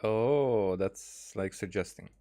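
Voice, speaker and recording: A man giving casual gameplay commentary, thinking aloud in a halting way and often repeating words. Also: on the low side, mellow. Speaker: game streamer.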